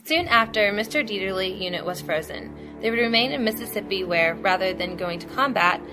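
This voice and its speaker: A woman's voice: mid-range voice, expressive, brisk, music in the background, rough recording. A woman reading scripted documentary narration as the narrator of an amateur radio production.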